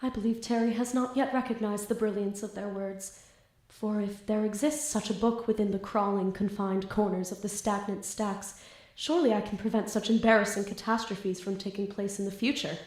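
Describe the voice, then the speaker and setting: A woman's voice: mid-range voice, fairly even intonation, moderate pace, darkish tone, echoey room, close to an everyday voice. A woman playing a flustered, lovestruck, bookish student in an audio drama, with grandiose theatrical diction. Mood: grandiose, dramatic.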